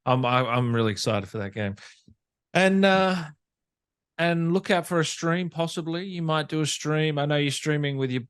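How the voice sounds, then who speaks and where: A man's voice: on the high side, normal speed, a bit bright, clean tone. A man hosting an interview in a casual, enthusiastic and appreciative chatting style. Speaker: podcast interview host.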